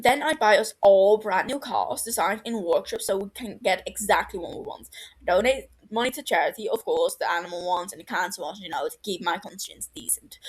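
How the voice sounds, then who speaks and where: A woman voicing a cheeky, excitable, foul-mouthed British man in his twenties in an audio story. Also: mid-range voice, husky, close to an everyday voice. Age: 20s. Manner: sweary, argumentative, boisterous. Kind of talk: fan fiction audio story dialogue.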